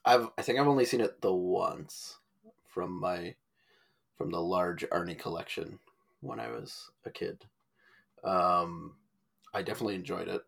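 A man's voice: deep voice, unhurried, gravelly, pauses often, breathy edge. A man co-hosting a podcast, chatting casually about movies and directors. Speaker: podcast co-host.

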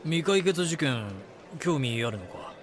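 A man playing a calm, measured chief editor mentoring a junior in a Japanese voice drama. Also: fairly high pitch. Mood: probing, instructive. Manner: instructive, composed.

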